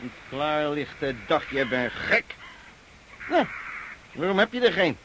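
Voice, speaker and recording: An actor in a Dutch radio play playing a gruff, commanding cavalry officer who barks orders and questions. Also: male voice, a little high, big pitch swings, takes it slowly, noisy background. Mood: exasperated. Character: brisk, commanding male cavalry officer, gruff but amused. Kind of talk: Dutch radio drama acting.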